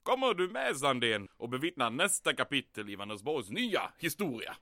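An actor playing a vain, excitable military commandant in an old-time radio comedy drama. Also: male voice, mid-range voice, dry recording, clean studio sound. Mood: jovial, commanding. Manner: insistent, self-satisfied, sprinkles speech with German. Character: pompous, vain, excitable male Nazi German commandant.

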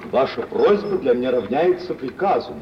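An actor playing a lordly, self-assured gentleman rake, suave and mocking, in theatrical old Russian stage style. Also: mid-range voice, animated, moderate pace, natural voice. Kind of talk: 1940s Russian stage acting for radio. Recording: distant, muffled, hissy old radio play.

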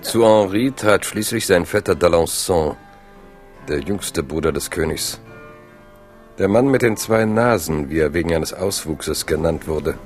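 A man narrating a historical radio play as a measured storyteller. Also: deep voice, soft background music.

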